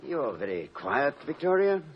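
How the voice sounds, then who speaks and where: An actor playing a gentle, wry, middle-aged college president with a hesitant, wordy way of talking, in a 1950s radio drama. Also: male voice, a little high, sing-song, normal speed. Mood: wry, dejected. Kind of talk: 1950s American radio comedy-drama acting. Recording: muffled, noisy old radio recording.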